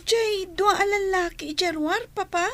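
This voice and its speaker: Radio drama, woman playing a timid girl, very high, soft and pleading. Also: normal speed, no room sound. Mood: worried. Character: timid young daughter, fearful.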